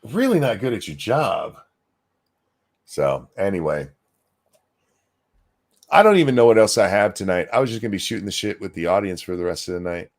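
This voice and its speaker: A man hosting a casual live stream, ranting in a crude, sarcastic way with loud outbursts. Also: on the low side, big pitch swings, normal speed, long pauses. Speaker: stream host. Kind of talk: casual profane ranting chat.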